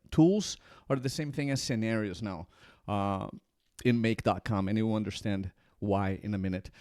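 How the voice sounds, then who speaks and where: A man's voice: mid-range voice, dry recording, studio quality. A man in his forties from Brazil with a Brazilian accent, talking casually to camera as a channel host, rambling with many restarts. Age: 40s.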